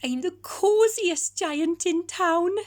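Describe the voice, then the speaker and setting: A woman's voice: very high-pitched, slow, pitched above the performer's own voice. A woman voicing a kind, emotive giant man in a children's storybook. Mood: overjoyed. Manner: emotive, sing-song rhyming. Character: kind, cheerful giant man, prone to sorrow.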